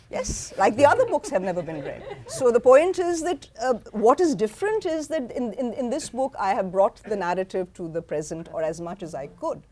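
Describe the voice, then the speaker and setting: A woman professor fielding questions in a lecture hall, her voice lively and swinging up and down in pitch. She answers in an emphatic, pointed way, sure of her ground. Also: a little slow. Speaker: author and history professor. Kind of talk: answering audience questions at a book talk.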